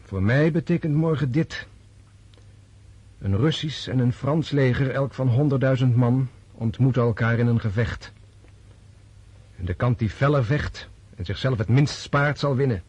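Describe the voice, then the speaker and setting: An actor in a Dutch radio drama playing a disillusioned, resolute Russian officer prince, speaking in a deliberate, scornful way. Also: male voice, medium pitch, a little mellow, slightly rough, no room sound, muffled recording. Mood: fierce, resolute.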